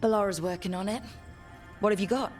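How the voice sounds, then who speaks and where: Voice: medium pitch, fairly quick, natural voice. An actor playing a young fantasy team leader in a video game, earnest, frank and a little unsure. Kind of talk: video game dialogue acting. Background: background music.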